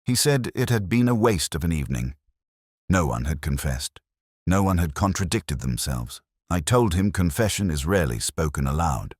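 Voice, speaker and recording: A man with an extremely low voice reads a reflective first-person detective tale. His delivery is measured and brooding, with lively movement in pitch. The recording is dry and close, with very little room sound. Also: dry recording. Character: world-weary male police inspector recounting a case. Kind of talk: scripted first-person detective story narration.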